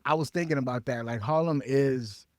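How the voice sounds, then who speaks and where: An American radio interviewer from Minnesota asking questions casually and haltingly, often searching for words. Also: mid-range voice, darkish tone, no room sound, studio quality. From Minnesota, USA.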